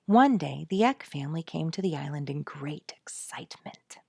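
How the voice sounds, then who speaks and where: Woman, storybook narrator, very animated intonation, creaky edge, dry close sound. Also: deep voice, moderate pace, no echo. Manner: expressive storytelling. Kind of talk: scripted audiobook narration.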